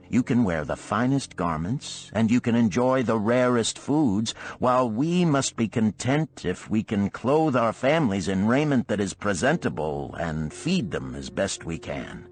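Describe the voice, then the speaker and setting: A man's voice: medium pitch, voice pushed up. A man voicing a poor, envious storybook friend who reproaches a rich man in formal, plaintive tones. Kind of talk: storybook character reading.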